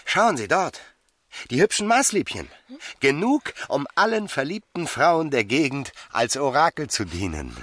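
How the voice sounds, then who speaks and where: An actor playing a cynical, calculating seducer in a radio play, husky and intimate, smooth-tongued and sure of himself. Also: male voice, fairly high pitch, very animated, a little slow, fairly bright tone, dry recording, clean studio sound, exaggerated voice. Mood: gallant, flirtatious. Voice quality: gravelly, husky. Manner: intimate, murmuring, drifting into whispers. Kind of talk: German radio play acting.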